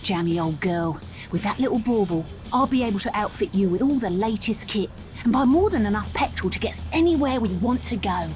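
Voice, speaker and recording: A woman's voice: mid-range voice, animated, brisk, darkish tone, soft background music, natural voice. A woman voicing a brash, plucky young English boat captain in a cartoon game, feisty and self-assured. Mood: pleased. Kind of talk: scripted cartoon game acting.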